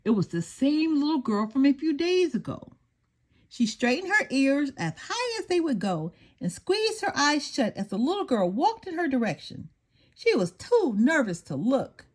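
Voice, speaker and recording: An older woman reading a children's story aloud in an expressive storytelling style. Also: high voice, normal speed.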